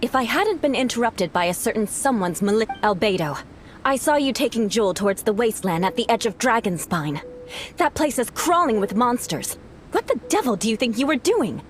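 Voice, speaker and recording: A woman's voice: a little high, lively intonation, brisk, soft background music, lightly acted. A woman playing a proud, stern young knight in an anime game, formal and sharply interrogating.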